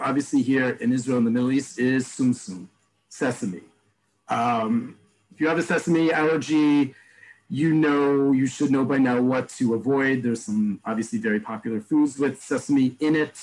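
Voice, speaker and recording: A man teaching an online class, explaining terms and repeating each word slowly. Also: mid-range voice, low-quality recording. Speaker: Hebrew teacher. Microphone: video call.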